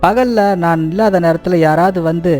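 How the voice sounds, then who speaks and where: Man as poor village worker for cartoon fable: high voice, mellow, deferential, sincere. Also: normal speed, soft background music, natural voice. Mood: worried, respectful. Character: humble, earnest poor village labourer seeking work. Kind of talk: animated moral story dialogue.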